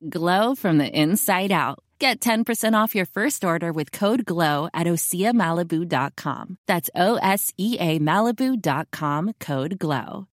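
A woman's voice: on the low side, animated, unhurried, few pauses, no room sound. Dry-sounding female ad voice-over. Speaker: advertisement voice-over. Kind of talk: scripted ad read.

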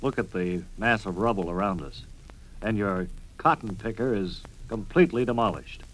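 A man's voice: fairly low pitch, moderate pace, a little hiss or hum, natural voice, poor audio. A man playing an earnest, emphatic adult in a mock-serious old radio serial. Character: Uncle Jim, earnest, loyal adult sidekick, also serial announcer.

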